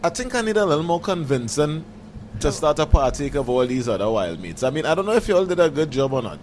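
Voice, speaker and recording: A man's voice: a little high, sing-song, quick pace, a little mellow, a little hiss or hum. A man co-hosting a casual podcast, playful and easygoing. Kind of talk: casual chat.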